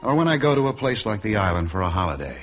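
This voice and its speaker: A man's voice: fairly low pitch, sing-song, fast. An actor playing an easygoing, reflective man who narrates a 1950s radio drama in a wry, conversational way. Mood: wry reminiscence. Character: Mac, easygoing, reflective man recalling a summer acquaintance. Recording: 1950s radio broadcast with music underneath.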